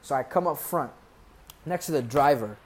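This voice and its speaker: A young American man, a podcast guest, casually telling animated stories with jokes and laughs. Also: medium pitch, normal speed. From United States. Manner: animated, joking, laughs through his stories. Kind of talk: casual conversational storytelling.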